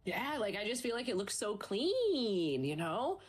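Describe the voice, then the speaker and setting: A woman's voice: mid-range voice, big pitch swings, measured pace, breathy edge. A woman casting an esports stream, chatting in a bubbly, upbeat way with her co-caster. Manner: bubbly, chatty, promotes merch. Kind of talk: casual esports stream commentary.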